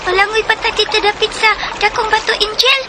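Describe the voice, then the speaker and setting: A woman playing an excitable young girl, a playmate, in a radio drama, with a Cebuano accent. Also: very high-pitched, a little fast. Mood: eager. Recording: noisy outdoor splashing scene in radio drama.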